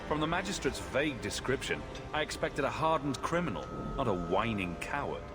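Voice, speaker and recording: An actor playing a blunt, cynical fantasy mercenary hero in a video game, dry and stern. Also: male voice, mid-range voice, very animated, brisk, close to an everyday voice. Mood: scornful. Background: clear music.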